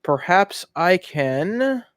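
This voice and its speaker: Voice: medium pitch, sing-song, very slow, dry recording. Musing game player in a dry recording. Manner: musing, thinking aloud. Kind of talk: casual game chat.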